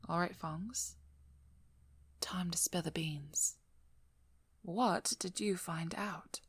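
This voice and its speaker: Casting: actress for a cunning female vampire hunter in intimate ASMR audio drama; lowish, breathy, playfully domineering, very dry recording. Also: extremely slow, a bit bright, no echo, exaggerated voice. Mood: cool, probing. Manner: teasing, playful, coolly controlling. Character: devious, vigilant female vampire hunter, flirty then businesslike. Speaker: audio roleplay performer. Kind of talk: scripted ASMR roleplay, addressing the listener.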